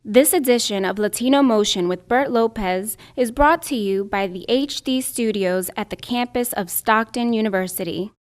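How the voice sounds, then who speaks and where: A woman's voice: fairly high pitch, normal speed, hardly any pauses, no room sound. A woman reading a scripted broadcast announcement as a show announcer, on a close studio mic. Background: music.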